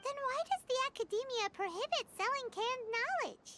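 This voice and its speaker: A woman voicing a tiny childlike fairy companion in a video game, squeaky, excitable and naive. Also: moderate pace, slight background noise, full character voice. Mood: curious, pouty. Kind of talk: video game character dialogue. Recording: music clearly behind the voice.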